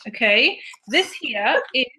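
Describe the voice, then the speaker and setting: Bright-voiced upbeat woman host. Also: fairly high pitch, lively intonation, takes it slowly, a little hiss or hum. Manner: upbeat and playful, gives shout-outs. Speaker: magazine editor hosting a video chat. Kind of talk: casual chat.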